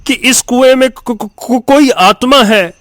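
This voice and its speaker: A man's voice: extremely high pitch, unhurried, fairly bright tone, no room sound. A man voicing a terrified, stammering village man in a cartoon. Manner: stammering, fearful.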